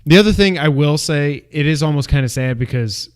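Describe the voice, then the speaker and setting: A man co-hosting a movie review, chatting casually and unscripted like an animated fan. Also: a little high, a little fast, clean tone.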